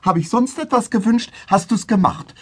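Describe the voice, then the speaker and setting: A man's voice: sits high, moderate pace, breathy edge. A man playing a shy, put-upon middle-aged father who scolds fussily and gets flustered, in a children's radio play. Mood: annoyed, sulky. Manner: fussy, scolding, easily flustered.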